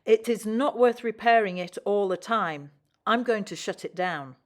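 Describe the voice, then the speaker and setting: A woman's voice: medium pitch, normal speed, natural voice. A woman reading the part of a stern, bossy male railway controller in a children's storybook, in a firm manner. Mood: stern, cross.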